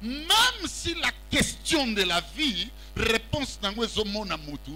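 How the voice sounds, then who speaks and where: Lively high-pitched preacher. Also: male voice, a little slow, faint music behind. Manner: call-and-response preaching, mixing French and Lingala; walks about the stage while preaching. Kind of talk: sermon. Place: large church hall with congregation. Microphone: handheld mic.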